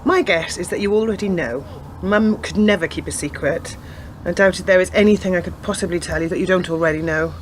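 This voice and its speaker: A woman playing a wry, measured newly made detective in a radio drama. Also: fairly low pitch, a little hiss or hum, natural voice. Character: newly promoted female detective, wry adult daughter. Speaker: actor in a community radio play.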